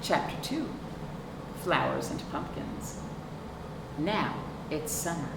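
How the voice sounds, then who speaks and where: A woman's voice: low voice, lively intonation, slow pace. A woman hosting a children's storytime, reading aloud expressively and prompting young listeners with simple questions. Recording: roomy, distant mic, clearly noisy.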